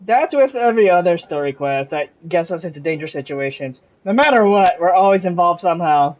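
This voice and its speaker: Live game streamer: medium register, relaxed conversational commentary, musing aloud. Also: mid-range voice, a little fast. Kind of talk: casual live gameplay commentary.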